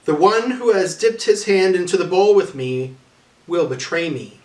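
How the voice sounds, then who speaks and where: A man performs a grave, sorrowful teacher in a church scripture drama. His voice sits a little high and moves deliberately, with solemn emphasis. It is recorded in an echoey room, away from the microphone. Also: close to an everyday voice. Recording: echoey room, distant mic.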